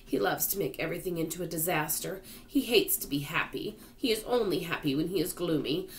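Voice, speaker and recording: A woman's voice: lowish voice, a little fast, a little hiss or hum, close to an everyday voice. Woman as a chatty, confiding ladybug.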